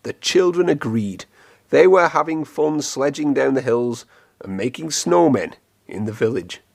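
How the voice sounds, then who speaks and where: Lively, low-to-mid male storyteller. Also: a little slow, creaky. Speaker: storybook reader. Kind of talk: expressive children's story read-aloud.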